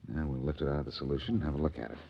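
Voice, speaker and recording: Deep-voiced, cool, wry sleuth. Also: male voice, steady tone, rapid-fire, vocal fry, a little hiss or hum. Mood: keen, assured. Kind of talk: 1940s radio drama acting. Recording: muffled old radio broadcast.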